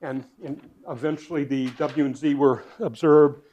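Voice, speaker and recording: A man with a somewhat high voice lectures on physics in a hall. He works things out aloud, stumbling over words and filling gaps with "uh." His volume rises and falls as he turns between the board and the room. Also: steady tone, normal speed, lo-fi sound. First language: not Dutch. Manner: thinking aloud with restarts and fillers, varying loudness.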